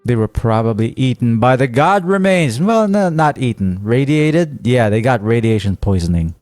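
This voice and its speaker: A man's voice: medium pitch, animated, moderate pace, a little mellow, no echo, faint music behind. A man giving casual gameplay commentary as a streamer, thinking aloud and reading game text. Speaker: gaming streamer.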